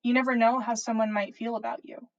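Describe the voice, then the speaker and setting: A woman plays a lovestruck schoolgirl witch from a storybook. The girl speaks in a fairly high voice, earnest and wide-eyed, questioning things as if she is always a step behind. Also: flat delivery, quick pace, natural voice. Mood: earnest, confessional.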